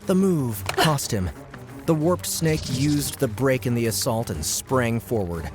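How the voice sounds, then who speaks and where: A man's voice: mid-range voice, animated, a little slow. A man narrating an audiobook with dramatic, scripted delivery. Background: loud music.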